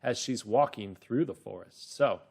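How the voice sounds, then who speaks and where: A man hosting a children's reading lesson as a teacher, explaining and narrating encouragingly, with drawn-out emphasis. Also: medium pitch, vocal fry, a little mellow. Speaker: teacher and host.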